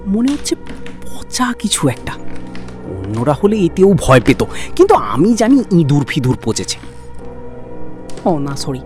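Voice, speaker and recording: A young ghost-hunting livestreamer acted by a man talks to his viewers, with very animated pitch. His cockiness is brittle, edged with fear. It is a found-footage horror audio drama with music under the voice. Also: heavily acted. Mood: bravado, curious. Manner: chatty, hesitant, addresses viewers directly. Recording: background music, some ambient noise.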